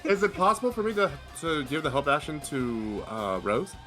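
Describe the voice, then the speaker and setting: Animated, higher-pitched man in game chat. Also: brisk. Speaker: tabletop game player. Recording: music behind the voice.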